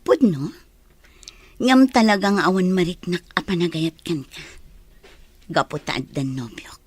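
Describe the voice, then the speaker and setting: A firm, outspoken young woman in a radio drama. Her voice is husky and slightly low, with an Ilocano accent. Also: unhurried, darkish tone, no echo. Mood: firm, frank. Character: frank, firm young woman rejecting an unwanted suitor.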